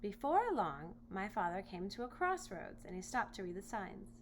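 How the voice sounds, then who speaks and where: A woman reading a children's storybook aloud in expressive narration. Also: mid-range voice, normal speed. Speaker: storybook reader. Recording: noisy home recording with hum.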